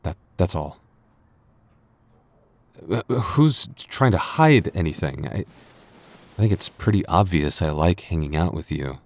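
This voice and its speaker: A man's voice: low voice, lively intonation, a little slow, pauses often, dry recording. A man playing a flustered young man with a secret crush in an intimate boyfriend ASMR roleplay, stammering and getting defensive. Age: young adult.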